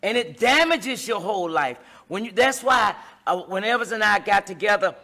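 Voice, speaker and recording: A woman's voice: mid-range voice, lively intonation, normal speed, pushed and bright. A middle-aged woman hosting a relationship advice talk, speaking emphatically in a repetitive, sermon-like cadence. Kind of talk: preaching-style advice talk.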